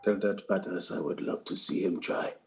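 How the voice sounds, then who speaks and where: A man playing a gruff, defiant soldier in a screenplay table read, speaking curtly and with profanity. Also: deep voice, moderate pace, a little mellow. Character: gruff, defiant male chief warrant officer on a jungle mission.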